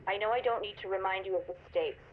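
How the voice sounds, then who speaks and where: A woman playing a cold, menacing corporate executive in a video game, stern and measured over a telephone. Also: mid-range voice. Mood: cold, pressuring. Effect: telephone filter. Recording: muffled, noisy phone recording.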